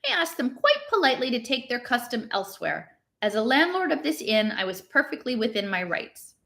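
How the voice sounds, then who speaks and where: A woman voicing a grumpy, defensive male innkeeper, protesting irritably in an expressive storybook reading. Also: a little high, fairly quick, gravelly, pitched above the performer's own voice, heavily acted. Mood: defensive, fierce. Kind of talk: expressive storybook read-aloud.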